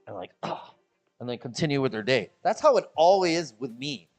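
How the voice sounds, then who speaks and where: A man's voice: very animated, a little fast, faint music behind. A man from Chicago with a Midwestern American accent, chatting casually and jokily to his stream viewers, rambling and repeating himself. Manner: rambling, jokey, repeats himself, mimics people in high voices. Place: the Philippines.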